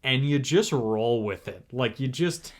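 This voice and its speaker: A man's voice: medium pitch, a little slow, heavy background noise. Rambling young man, podcast co-host. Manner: rambling, full of fillers and restarts, chatty. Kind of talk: casual chat.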